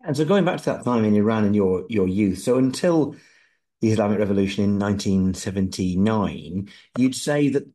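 Courteous host with a deep, mellow voice. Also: male voice. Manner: courteous, gently probing. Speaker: podcast host. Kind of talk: conversational interview.

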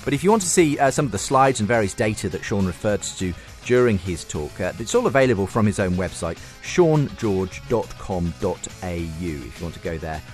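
A man presenting a radio programme as its host, introducing items and guests. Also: deep voice.

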